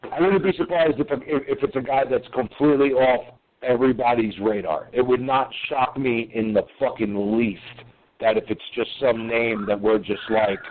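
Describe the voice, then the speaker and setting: A man, co-hosting a casual sports podcast, animated, swearing and tripping over his words. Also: mid-range voice, moderate pace. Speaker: podcast co-host. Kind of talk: casual sports call-in chat. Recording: tinny phone-like line.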